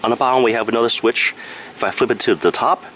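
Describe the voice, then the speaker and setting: A man's voice: medium pitch, steady tone, fast, very noisy. A man giving a casual hands-on product review in a matter-of-fact, explanatory way.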